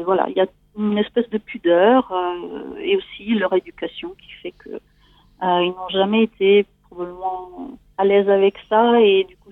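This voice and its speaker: A woman's voice: lowish voice, no room sound, narrow, tinny audio. A woman in her forties from Italy, speaking with an Italian accent in a hesitant, conversational way as she shares something personal. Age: 40s. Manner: hesitant, searching for words. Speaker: guest seeking coaching.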